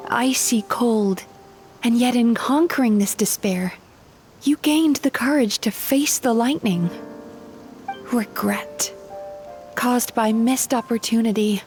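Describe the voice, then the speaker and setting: An actress playing a calm young woman psychologist heroine in a game, speaking in a gentle, measured, reflective way. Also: fairly high pitch, soft background music. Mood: tender.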